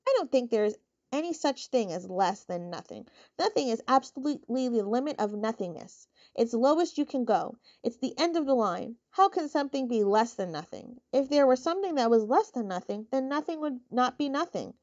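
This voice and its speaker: A woman's voice: a little high, lively intonation, a little fast, a little mellow, highs cut off, voice pushed up. A woman voicing a lonely young pig. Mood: plaintive. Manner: plaintive, earnest.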